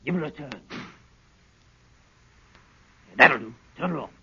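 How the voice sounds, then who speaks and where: An actor playing a cocky, rough-spoken henchman with a blunt manner, in 1930s radio drama style. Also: a little high, animated, fast talker, sharp tone, a little hiss or hum, exaggerated voice. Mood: argumentative. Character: cocky, argumentative lowly gang henchman. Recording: dull band-limited 1930s radio broadcast.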